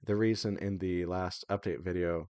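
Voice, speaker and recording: A man with a low-pitched voice talks off the cuff, as in an informal developer diary. His delivery wanders and hesitates as he thinks out loud. The sound is dry, with hardly any room to it. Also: fairly even intonation, moderate pace, smooth, no room sound. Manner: rambling, hesitant, thinking aloud. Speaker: indie visual novel developer. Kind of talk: casual devlog update, unscripted.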